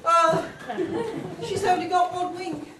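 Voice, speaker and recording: A woman's voice: very high voice, flat delivery, slow, over a noisy crowd. A woman playing a fussy, scolding senior fairy in a pantomime, projecting like a schoolmistress. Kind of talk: pantomime stage acting with audience participation. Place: amateur theatre stage in a hall. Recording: echoey hall, distant microphone, noisy.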